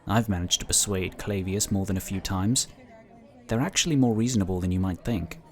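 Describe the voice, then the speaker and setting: Sci-fi audio drama inquisitor, played by a man: lowish male voice, measured, dryly understated, protective and cautious. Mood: wry, informative.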